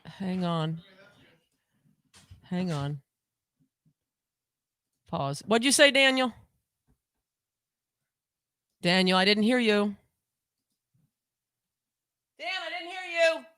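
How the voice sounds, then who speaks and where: Woman, casual co-host, rambly and repetitive, mid pitch, dry desk-mic sound. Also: very animated, takes it slowly, pauses often, fairly bright tone, no room sound. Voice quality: voice lower than usual today. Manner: rambling, chatty, repeats herself. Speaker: podcast co-host. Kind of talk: casual livestream chat. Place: home.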